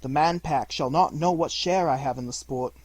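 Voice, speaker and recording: A man's voice: fairly high pitch, normal speed, darkish tone, no room sound, natural voice. A man playing a bold, headstrong jungle boy in a storybook, speaking firmly and defiantly. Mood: defiant, reckless.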